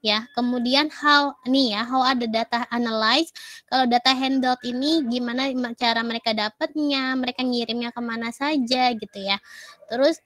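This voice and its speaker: A woman's voice: a little high, fairly even intonation, normal speed, fairly bright tone. A woman giving a casual lecture with many fillers and English terms, recovering from a cough. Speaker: university lecturer.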